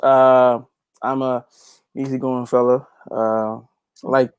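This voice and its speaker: A man's voice: mid-range voice, level tone, slow pace, smooth, dry recording, muffled recording. A man with a Guyanese accent talks casually as a guest in an interview. He is easygoing and folksy, with a mid-range voice. The sound is dry and close. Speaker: interview guest, gospel reggae artist. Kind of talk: casual chat.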